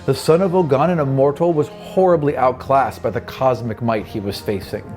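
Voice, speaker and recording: A man with a mid-pitched voice acts as game master for a fantasy tabletop podcast. He speaks conversationally as he narrates and hosts. Music plays softly behind his voice. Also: normal speed. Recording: background music under the voice.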